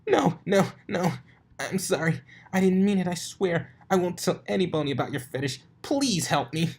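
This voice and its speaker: A man's voice: high voice, normal speed, slightly rough, voice pushed up. A man voicing a brash, teasing young female pegasus pony from a cartoon story, cocky and easily rattled. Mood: panicked pleading. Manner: cocky, mocking, exclamatory.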